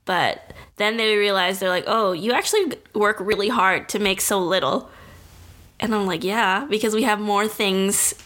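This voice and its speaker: A chatty woman co-hosting a podcast, with a bright, clear tone. She talks in an upbeat, casual way and leans on "like" as she goes. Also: medium pitch, normal speed. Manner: chatty, upbeat, says "like" often. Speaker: podcast co-host. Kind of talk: casual chat.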